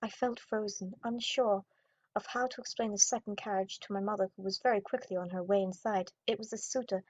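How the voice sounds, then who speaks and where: A woman's voice: medium pitch, steady tone, fairly quick. A young woman gaming YouTuber reading visual novel narration aloud in a dramatic storytelling style. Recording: dull audio, music behind. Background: game music.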